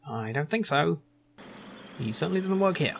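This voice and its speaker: A man's voice: on the high side, expressive, normal speed, vocal fry, a little hiss or hum, voice pushed up. A man voicing a proud, chatty factory supervisor in an adventure game, earnest and fussy about his job. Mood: matter-of-fact.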